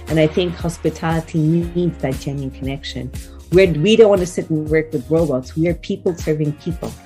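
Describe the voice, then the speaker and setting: A woman's voice: sits low, brisk, mellow, faint music behind. A woman in her forties from Kenya, speaking articulately and earnestly as a guest answering questions in a conversational interview. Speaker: wellness consultant and author, podcast guest.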